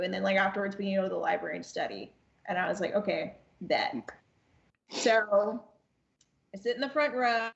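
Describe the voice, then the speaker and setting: A woman's voice: medium pitch, echoey room, highs cut off. A woman in her twenties telling a casual anecdote as a podcast guest, acting out quoted dialogue and repeating phrases as she goes. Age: 20s. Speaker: podcast guest, amateur voice actress.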